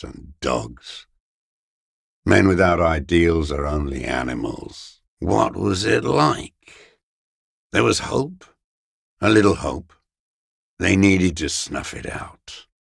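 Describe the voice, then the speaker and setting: A man playing a bitter old communist deserter in a video game, muttering in contemptuous, terse fragments with a gravelly, raspy voice. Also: rumbling low, animated, takes it slowly, no room sound, clean studio sound, full character voice. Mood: bitter, disgusted, then wistful. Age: older. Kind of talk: video game character voice acting.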